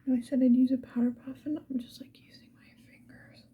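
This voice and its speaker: A woman's voice: high-pitched, little pitch movement, fast talker, slightly rough, narrow, tinny audio. A woman whispering breathily in a casual, rambling ASMR style, unhurriedly thinking aloud. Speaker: ASMR video creator.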